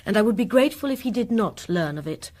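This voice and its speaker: A woman's voice: medium pitch, lively intonation, fast, darkish tone, close to an everyday voice. Woman playing a proud, guarded rector's wife. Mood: reserved, proud. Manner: formal, crisp-worded, defensive. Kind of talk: period radio drama acting.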